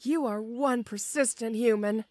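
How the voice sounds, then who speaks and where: A young woman playing a shy, gentle tomboy orc schoolgirl in an audio roleplay, with a hesitant, stammering, bashful manner. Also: fairly high pitch, unhurried, no room sound, close to an everyday voice. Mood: shy, earnest.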